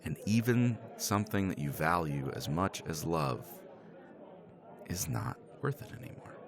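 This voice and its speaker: A man in a romance ASMR roleplay speaks as a professor, his voice low and slow. His pitch swings widely as he teases, laughs and swears without a care. He keeps it wry and self-mocking. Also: animated, crowd noise. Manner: wry, teasing, self-deprecating; swears casually, laughs often.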